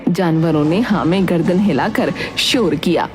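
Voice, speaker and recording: A woman's voice: on the low side, normal speed, darkish tone, soft background music. A woman narrating a scripted cartoon story.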